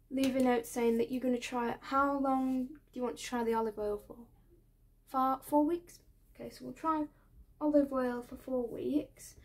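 A woman in her twenties speaking softly in an ASMR medical roleplay as an ear doctor, hesitant and reassuring. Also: on the high side. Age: 20s. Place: small bedroom, indoors.

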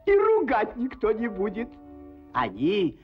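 Playful, mysterious cartoon doctor, highish and unhurried, theatrical and coaxing, clear music behind an old soundtrack. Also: female voice, wildly swooping pitch, muffled recording. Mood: sly, coaxing. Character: mysterious, playful doctor handing out magic pills. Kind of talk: animated cartoon acting.

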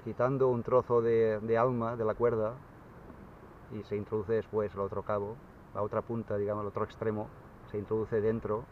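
Relaxed man explaining on a kayak. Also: lowish voice. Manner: relaxed, explains slowly with fillers. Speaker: kayak sailing instructor. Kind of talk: casual how-to explanation on the water. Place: on a sail kayak at sea. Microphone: action camera mounted on the kayak. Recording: dull lo-fi camera audio, faint wind.

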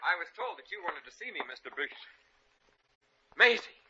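A muffled vintage radio recording of a jealous, hapless young boyfriend. His voice is extremely high for a man and piercing, in broad radio comedy style. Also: big pitch swings, moderate pace, sharp tone, slight background noise, heavily acted. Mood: polite. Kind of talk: 1940s radio comedy acting. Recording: dull old radio recording.

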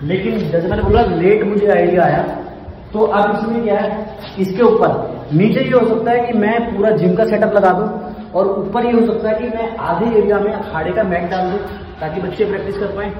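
A man's voice: high voice, steady tone, normal speed, muffled recording. A young man talking casually to camera as a fitness vlogger, explaining things directly to viewers. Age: young adult. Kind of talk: casual vlog talk to camera. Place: unfinished building, rooftop and open balcony. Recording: clear background noise, outdoor ambience, traffic.

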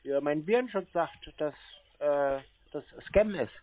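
Phone-like, higher-pitched man, matter-of-fact. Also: unhurried. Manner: patient, matter-of-fact, spells things out. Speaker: prank caller posing as a customer to phone scammers. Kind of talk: casual phone conversation. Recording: narrow tinny phone-like line.

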